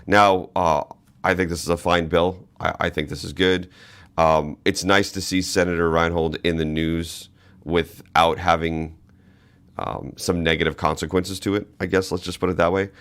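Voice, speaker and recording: A man's voice: deep voice, flat delivery, normal speed, a bit bright. A man from the Boston area hosting a political commentary podcast, talking casually and opinionatedly with frequent restarts. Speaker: podcast host.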